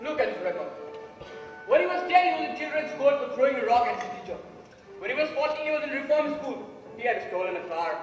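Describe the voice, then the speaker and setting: A scornful, cocksure juror played by a young man in a stage drama, very high for a man and Indian-accented. The voice rings from a distance in a very echoey hall. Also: sing-song, fast, soft background music. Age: young adult. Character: scornful, loud juror sure of guilt. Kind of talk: amateur stage play acting. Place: large auditorium stage.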